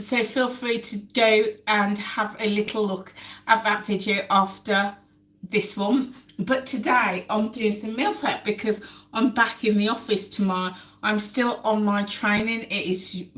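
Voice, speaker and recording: A woman's voice: mid-range voice, fairly bright tone. A woman hosting a casual live stream while cooking at home, chatty and repeating words for emphasis, still getting over a cold. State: recovering from a cold, coughing. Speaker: lifestyle channel host. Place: home kitchen. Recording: echoey kitchen, mic at a distance.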